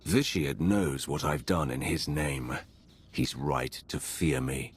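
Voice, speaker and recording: An actor playing a cold, menacing Sith agent in a video game, with a terse, commanding delivery and gravelly voice. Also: male voice, very deep voice, slow, heavily acted. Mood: grim, confident. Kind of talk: video game cinematic acting.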